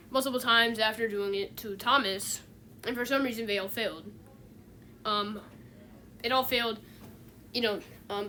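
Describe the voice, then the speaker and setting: A woman with a fairly high voice talks off the cuff, as in a homemade video essay. Her delivery is halting and rambling, with phrases repeated and restarted. The recording carries some noise behind her. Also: pushed and bright. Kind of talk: casual unscripted video essay commentary.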